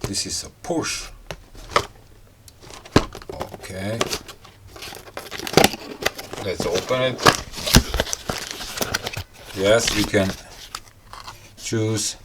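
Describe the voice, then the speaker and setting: A man reviewing a gadget in a casual unboxing style, talking in halting, piecemeal phrases and muttering to himself. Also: fairly low pitch, very noisy. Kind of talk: casual unboxing and product explanation.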